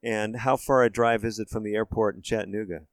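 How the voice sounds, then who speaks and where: A man's voice: fairly low pitch, fairly even intonation, fairly quick, clean tone, no room sound. A man hosting a radio interview, asking questions and summarizing the guest's answers in a friendly, conversational way. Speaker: radio show host.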